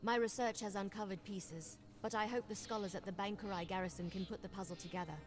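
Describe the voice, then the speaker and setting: An actress voicing a brisk, wry young vampire investigator in a fantasy video game, speaking with conversational confidence. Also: female voice, medium pitch, fairly even intonation, music in the background, natural voice. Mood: matter-of-fact. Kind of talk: video game character dialogue.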